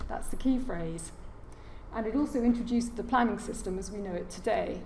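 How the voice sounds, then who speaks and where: A soft-spoken woman giving a formal lecture as a guest speaker, with frequent hesitations and fillers. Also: medium pitch, brisk. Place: large lecture room. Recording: echoey lecture hall, faint noise.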